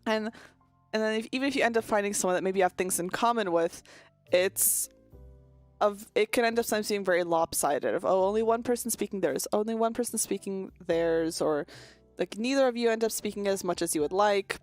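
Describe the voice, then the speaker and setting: A woman's voice: mid-range voice, a little fast, no echo, faint music behind. A young woman streaming, chatting casually and candidly with viewers, rambling with lots of "like" and "um" and some swearing. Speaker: VTuber streamer. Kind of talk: casual chat answering viewer questions.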